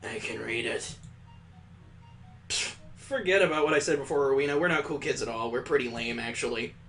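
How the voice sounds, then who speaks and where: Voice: medium pitch, a little fast, a bit bright, faint music behind, voice pushed down, natural voice. A performer voicing a brash, boastful schoolkid in a visual novel, in a slangy cartoon tough-kid voice. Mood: deflated bravado.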